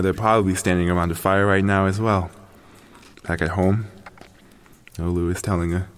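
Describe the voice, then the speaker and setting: A man playing a proud, bossy castaway in an audio drama, speaking haltingly in a haughty, grudging way to his companions. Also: low-pitched, slight background noise. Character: proud stranded male castaway, bossy, grudging, talking to creatures. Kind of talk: dramatic audio fiction monologue, survival log.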